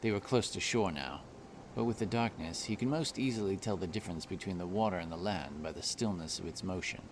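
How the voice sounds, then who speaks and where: A man narrating a scripted fantasy story in a measured, deliberate storytelling manner. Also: low voice, background noise.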